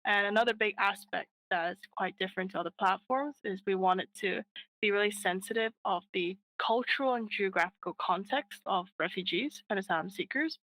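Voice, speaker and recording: A woman's voice: medium pitch, a little fast, fairly bright tone, slight background noise, rough recording. Woman cofounder chatting about her project, earnest, lots of uhs. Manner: earnest, frequent fillers and restarts. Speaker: app co-founder, guest. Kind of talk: casual interview answers.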